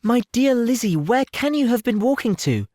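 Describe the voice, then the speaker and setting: A man voicing a sweet, earnest young gentlewoman in an audiobook, exclamatory, affectionate and anxious. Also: extremely high pitch, big pitch swings, normal speed, no room sound, clean studio sound, pitched above the performer's own voice. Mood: affectionate curiosity. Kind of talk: audiobook character voice.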